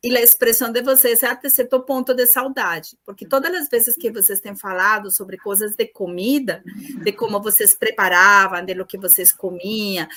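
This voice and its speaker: A woman's voice: mid-range voice, moderate pace, pushed and bright. A woman with a Latin American Spanish accent, a native Spanish speaker hosting a class and searching a little for words as she speaks spontaneously. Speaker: course host introducing the lecturer.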